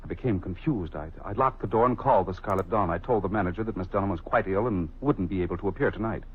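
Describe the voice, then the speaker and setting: An actor playing a suave, flirtatious wealthy shipping millionaire in a vintage radio drama, speaking in a polished, flowery manner. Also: male voice, deep voice, fast talker, husky. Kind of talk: 1940s radio acting. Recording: dull old radio recording.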